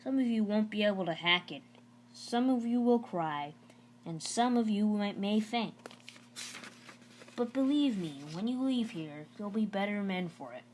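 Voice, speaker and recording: A woman's voice: medium pitch, animated, measured pace, darkish tone, a little hiss or hum, close to an everyday voice. A woman voicing a brash, stocky male middle school gym coach from a storybook, addressing students in emphatic, instructing phrases. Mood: gruff, challenging.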